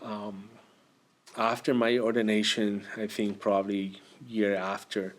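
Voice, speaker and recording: A man from Argentina, a priest, telling his story in an interview, with many pauses and fillers. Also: fairly low pitch, little pitch movement. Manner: hesitant, many fillers and restarts. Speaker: priest, guest.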